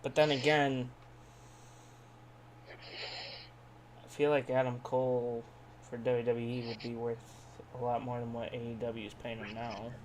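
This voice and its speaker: A man's voice: medium pitch, level tone, a little slow, pauses often, poor audio. Man chatting casually over faint background noise. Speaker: podcast co-host. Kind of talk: casual wrestling podcast chat.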